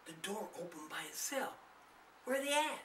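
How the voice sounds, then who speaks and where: Ghost-story role, man performing: nervous little girl, high and shuddery, roomy sound. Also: very animated, moderate pace, far from the mic, slight background noise. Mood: hushed, scared. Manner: hushed, shuddering, anxious.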